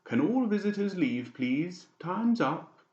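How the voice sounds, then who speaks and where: A man's voice: high-pitched, big pitch swings, slow pace, a little mellow, reverberant, lo-fi sound, pitched above the performer's own voice, heavily acted. A man voicing an arrogant, menacing doctor in an audiobook, curt and commanding. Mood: stern threat. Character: arrogant, menacing male doctor running an asylum.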